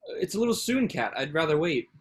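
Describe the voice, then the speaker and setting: A man's voice: fairly high pitch, very animated, fast talker. An actor in a staged play reading, playing a nervous, evasive man who speaks hesitantly. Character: nervous, evasive male aspiring food-truck owner.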